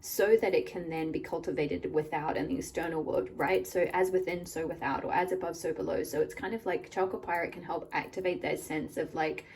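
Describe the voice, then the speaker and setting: A woman hosting a tarot reading, her voice a little croaky and mellow in tone. She speaks gently and softly to her viewers, sounding a bit back from the mic with a faint hiss behind her. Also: low-pitched, fairly even intonation, brisk, hardly any pauses, far from the mic. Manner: gentle, warm address to viewers. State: slightly croaky from a cold. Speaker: tarot reader and channel host. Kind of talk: pick-a-card tarot reading. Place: indoors at a table, hands-only camera.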